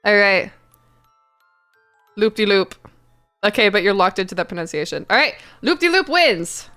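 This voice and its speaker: Female streamer, mid-range pitch, playful and informal, teasing asides, light music bed. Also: sing-song, normal speed, fairly bright tone. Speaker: streamer reading fan fiction aloud. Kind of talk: casual livestream chat.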